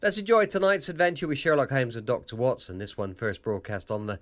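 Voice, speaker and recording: A man's voice: medium pitch, fairly quick, fairly bright tone. A British man casually introducing his podcast, his voice slightly worn as if going. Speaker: podcast host. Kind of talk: casual, chatty show introduction. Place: home studio.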